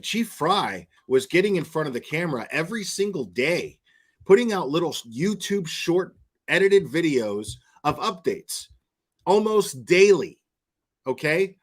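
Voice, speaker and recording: A man giving opinionated, off-the-cuff online commentary, repeating words for emphasis and often checking in with the listener. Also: a little high. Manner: emphatic, checks with "okay?" and "right?". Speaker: commentary vlogger.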